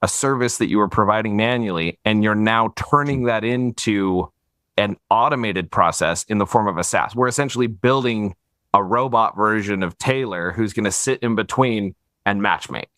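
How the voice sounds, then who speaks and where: A man's voice: on the low side, moderate pace, a bit bright, no room sound, studio quality. A man hosting a podcast interview, upbeat and thinking aloud, with easy laughs. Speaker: podcast host. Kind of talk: casual interview.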